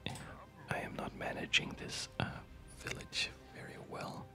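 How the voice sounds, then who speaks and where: A person whispering ASMR commentary while playing a game, breathy and unhurried, murmuring as if to themselves. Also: over music. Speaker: gamer narrating own play.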